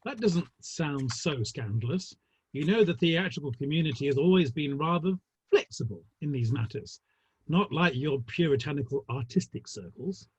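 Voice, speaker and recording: An actor playing a gossipy, urbane Victorian theatre gentleman with a dry, arch manner and a husky voice, in an online play reading. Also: fairly high pitch, lively intonation, normal speed, a little hiss or hum, natural voice. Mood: dry, curious. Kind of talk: dramatic play reading over the internet. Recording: muffled, roomy online call audio.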